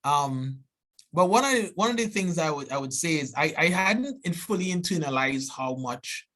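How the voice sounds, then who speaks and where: A man in his forties from Trinidad and Tobago with a thick Trinidadian accent, giving an earnest, animated seminar talk as a biology professor, often restarting and tagging "right?". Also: on the high side, husky.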